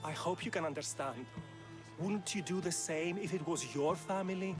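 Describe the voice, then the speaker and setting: A man's voice: a little high, moderate pace, over music, close to an everyday voice. A man playing a pleading poor farmer. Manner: defensive, pleading. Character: poor male farmer, horse thief.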